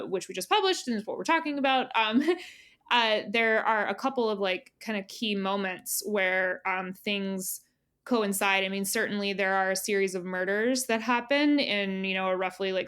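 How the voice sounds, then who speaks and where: A woman's voice: mid-range voice, fairly bright tone, smooth. A woman speaking as an editor being interviewed, in a casual conversational style, thinking aloud with frequent "you know" and "like". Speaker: magazine editor, interview guest.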